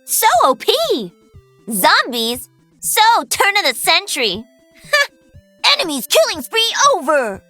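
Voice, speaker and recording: A woman's voice: extremely high pitch, big pitch swings, slow, sharp tone, faint music behind, heavily acted. A woman voicing a perky, cocky young female pro-gamer mech pilot for a video game. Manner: bubbly, cocky, teasing.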